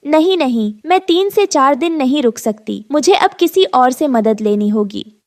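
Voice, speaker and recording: A woman's voice: sits high, lively intonation, measured pace, dry recording, studio quality. A woman playing a worried, weary expectant mother bird in a cartoon story, polite, pleading and fretful. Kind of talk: animated moral story dub.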